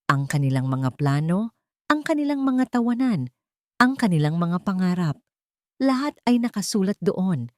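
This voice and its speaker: A woman with a Filipino accent reading a scripted love story as a narrator, in a dramatic, deliberate way. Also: deep voice, darkish tone, dry recording, clean studio sound.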